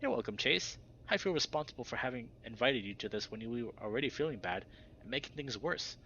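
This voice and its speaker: A man's voice: mid-range voice, expressive, brisk, creaky, pushed and bright, lo-fi sound, slight background noise. A man voicing an earnest, timid young teenage lynx boy in a visual novel, hesitant and apologetic. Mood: sincere, guilty. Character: earnest, timid thirteen-year-old lynx boy in ghost costume.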